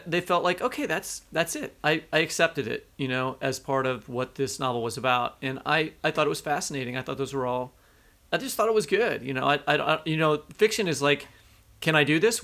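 Male podcast co-host, bright forceful voice with gravelly creak, slightly high; halting, conversational book talk. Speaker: podcast co-host and fiction writer. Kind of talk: casual literary discussion.

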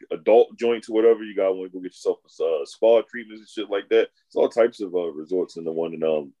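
A man's voice: sits low, mellow, lo-fi sound. A man co-hosting a podcast, chatting casually and profanely in a laid-back, drawling way. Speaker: podcast co-host.

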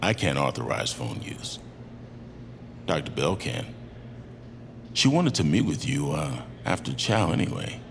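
An actor playing a calm, laconic institute orderly with deadpan authority in an audio drama. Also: male voice, rumbling low, a little slow, vocal fry, long pauses, background noise, exaggerated voice. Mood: patient, unmoved. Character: gruff, unflappable male institute orderly in charge.

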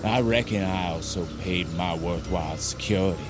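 An actor playing a cruel, gloating Southern plantation owner with a menacing, mocking drawl in an audio drama. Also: male voice, low voice, normal speed, music in the background, lightly acted. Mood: gloating, cold.

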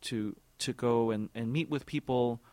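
A man in his forties, an orchestra music director, answering interview questions in a thoughtful, halting way with many repeated words and fillers. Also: mid-range voice, a little mellow, no room sound.

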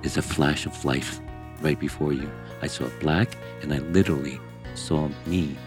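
Very deep-voiced man telling his story. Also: steady tone, over music. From born in Hong Kong, raised in Brazil. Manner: earnest, emphatic, halting restarts. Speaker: guest angler. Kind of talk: candid interview storytelling.

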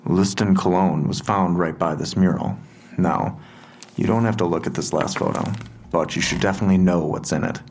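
An actor playing a methodical police detective in an audio drama, questioning in a deliberate, matter-of-fact way. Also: male voice, sits low, a little mellow, a little hiss or hum, lightly acted. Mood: grave, pressing. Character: methodical, probing male police detective, quietly pressing.

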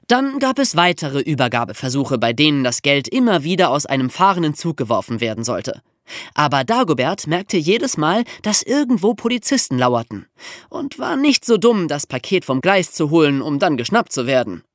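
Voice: mid-range voice, very animated, moderate pace, dry recording, studio quality. A podcast host telling true crime stories for young listeners in an amused, colloquial way.

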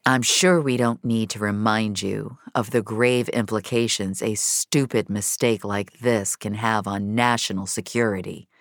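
A woman's voice: a bass voice, steady tone, unhurried, hardly any pauses, no room sound, pitched below the performer's own voice. A woman voicing a stern, accusing male boss with a dry, grave, clipped manner.

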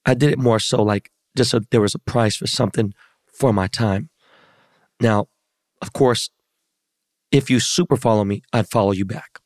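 A hoarse man hosting a podcast in casual chat, talking in slangy, profane, hyped-up street style. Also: on the low side, normal speed, pauses often, no echo, studio quality. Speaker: podcast host.

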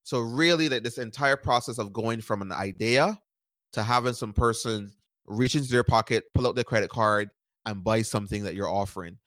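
A man from Barbados in his thirties, guesting on an interview show. His voice sits low, and he rambles easily with lots of fillers and repeated words. The recording is dry and close. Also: moderate pace, no echo. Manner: relaxed, repeats words and uses many fillers. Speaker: startup founder and podcast guest. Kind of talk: conversational interview answers.

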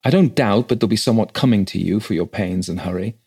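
A man's voice: lowish voice, quick pace, warm tone, slightly rough. A man voicing an educated, slightly impatient country clergyman with a formal, authoritative manner in a ghost story read aloud. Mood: impatient, businesslike.

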